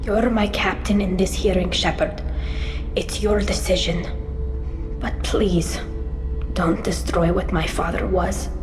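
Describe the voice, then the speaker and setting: A woman's voice: on the low side, steady tone, slow pace. A woman playing a young alien daughter in a video game, speaking with earnest, pained grief and pleading. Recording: roomy long reverb, music behind.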